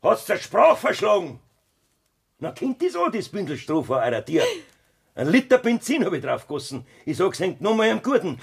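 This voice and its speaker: An actor with a Bavarian accent playing a cruel, scheming farmer husband in a 1970s radio play, with a gravelly, husky voice. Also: male voice, high-pitched, fairly bright tone, full character voice.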